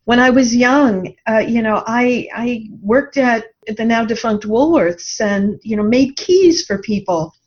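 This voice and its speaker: A woman's voice: on the high side, expressive, measured pace, few pauses, darkish tone. A middle-aged woman talking reflectively as an interview guest, earnest and thoughtful, telling anecdotes from experience. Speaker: podcast guest, life coach. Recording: dull lo-fi audio.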